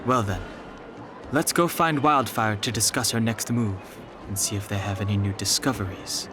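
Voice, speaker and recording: A man's voice: fairly low pitch, moderate pace, noisy background, lightly acted. A man voicing a cool, reserved, practical young adventurer in an anime game. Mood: composed.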